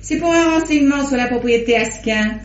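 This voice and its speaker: A woman's voice: sits high, fairly quick, natural voice. An actress playing a shrewd, self-assured lady investigator, speaking knowingly and articulately in old-fashioned radio drama style. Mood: politely probing. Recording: dull, faintly noisy old radio recording.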